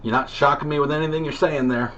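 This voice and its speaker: A man's voice: on the high side, fairly even intonation, fast talker. A middle-aged American man chatting casually over CB radio in a rambling, hesitant way. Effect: CB radio transmission. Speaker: amateur CB radio operator. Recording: muffled CB radio signal with static noise.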